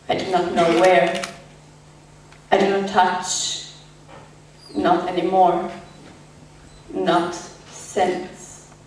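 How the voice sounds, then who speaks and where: Slow, echoey, haunted woman survivor, acted on stage. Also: on the low side, darkish tone. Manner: halting, fragmented, dramatic phrasing. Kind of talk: poetic stage monologue. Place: echoey indoor performance space, distant mic. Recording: echoey hall from far off, faint noise.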